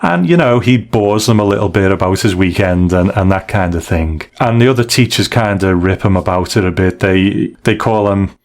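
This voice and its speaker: Low-pitched British man chatting casually. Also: moderate pace, few pauses. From United Kingdom. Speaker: podcast co-host. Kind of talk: casual chat, story review.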